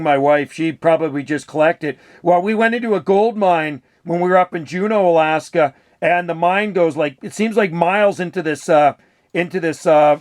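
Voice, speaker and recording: A man's voice: on the high side, no echo, clean studio sound. A man chatting casually and enthusiastically as an amateur radio operator. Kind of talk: casual chat over radio.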